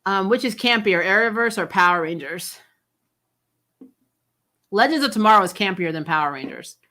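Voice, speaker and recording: A woman's voice: mid-range voice, expressive, normal speed, sharp tone. A woman hosting a recap show, giving casual, opinionated fan commentary in a blunt, conversational way.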